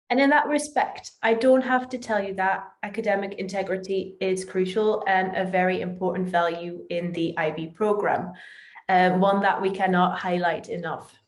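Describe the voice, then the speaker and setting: Dutch lady doing an online company presentation, explaining stuff, mid pitch, pretty echoey room. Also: a little mellow. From Netherlands. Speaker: company territory manager presenting. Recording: roomy, echoing voice.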